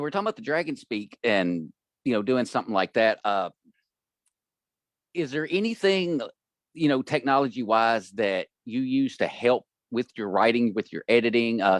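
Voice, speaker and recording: A man's voice: mid-range voice, animated, normal speed, dry recording. Laid-back male podcast host. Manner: loose, filler-heavy questioning. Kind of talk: casual interview questions.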